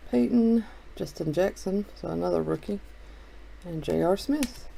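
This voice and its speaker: A middle-aged man with a gravelly, fry-tinged voice that sounds warm and mellow. He chats in a loose, relaxed way, thinking aloud as he opens packs of cards. A faint hum sits behind him. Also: high voice, measured pace, rough recording. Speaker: card shop host. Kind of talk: casual chat while opening card packs.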